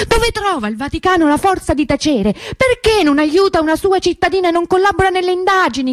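An actress playing an anguished, stubbornly hopeful grieving sister in a theatrical radio monologue, intense and emotive with dramatic pauses. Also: female voice, sits high, darkish tone, no echo. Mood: indignant.

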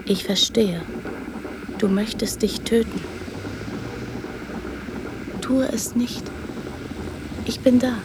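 A naive, affectionate girl in a radio drama, with a fairly high voice. She speaks very slowly in halting, simple childlike phrases, trusting and open. Faint noise sits behind her. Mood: earnest, tender.

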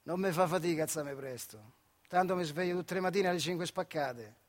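An actor playing a bitter, weary old retired factory worker in a stage monologue, speaking colloquially with a Marche accent from central Italy, wry and rueful. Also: male voice, a little high, fairly quick, lightly acted. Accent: central Italian, Marche. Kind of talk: dramatic theatrical monologue.

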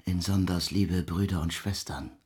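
A man playing a brooding, doomed composer who addresses his guests in halting, murmured, archaic solemnity in a radio drama. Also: sits low, steady tone, a little mellow, breathy edge. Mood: solemn, murmuring. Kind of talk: German radio drama acting.

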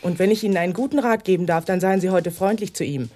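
Actress as a brisk, prim office secretary. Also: female voice, lowish voice, mellow, natural voice. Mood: prim, reproving. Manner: prim, brisk, pointed politeness. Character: brisk, prim, gently reproving female secretary. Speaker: actor in a radio crime drama. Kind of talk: 1980s German radio play acting.

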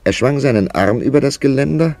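An actor playing an inquisitive literary narrator in a German radio play, speaking in a measured storytelling manner. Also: male voice, medium pitch, lively intonation, creaky, a little mellow. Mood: absorbed narration. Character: male literary narrator, inquisitive confidant of a young clerk.

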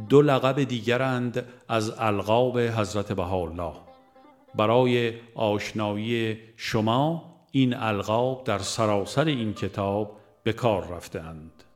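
A man narrating a religious audiobook in a formal, measured reading style. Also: fairly low pitch, faint music behind. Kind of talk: scripted narration of a religious book.

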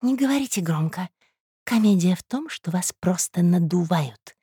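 A woman's voice: fairly low pitch, animated, normal speed, dark tone, husky, dry recording, clean studio sound, pitched above the performer's own voice, exaggerated voice. A woman playing a nosy, spiteful female eavesdropper in a story, brusque, needling and conspiratorial.

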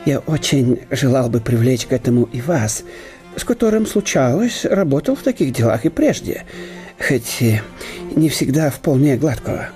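An actor playing a fussy, obsequious older German professor at court in a radio play, hesitating with fillers. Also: male voice, medium pitch, big pitch swings, normal speed, mellow, faint music behind, close to an everyday voice. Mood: ingratiating.

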